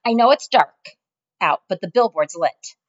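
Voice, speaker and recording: A woman's voice: mid-range voice, lively intonation, a little slow, voice pushed up. A woman voicing a spirited teenage daughter who pleads eagerly, on a muffled lo-fi recording.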